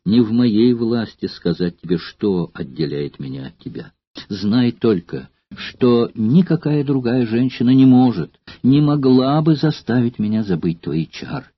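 A man's voice: mid-range voice, expressive, measured pace, husky, no room sound, dull audio. A man reading an audiobook and voicing an anguished male gladiator, who pleads in a trembling, tearful voice. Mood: anguished pleading.

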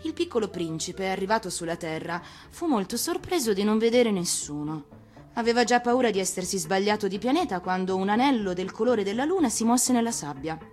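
A woman reading a children's tale as an actor, in expressive scripted storybook narration. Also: mid-range voice, a little fast. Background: music.

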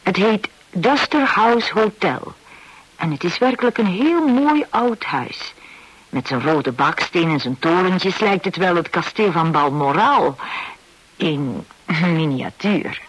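A composed hotel owner telling his story in a radio play. His husky voice is on the high side for a man, and he takes his time, reflecting as he goes. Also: lively intonation, slow pace, a bit bright, heavily acted, poor audio. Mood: reflective. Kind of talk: first-person radio play narration in Dutch.

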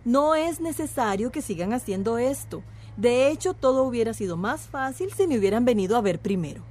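A woman playing a self-assured, condescending town mayor in a radio drama, measured and placating. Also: on the high side, animated, darkish tone.

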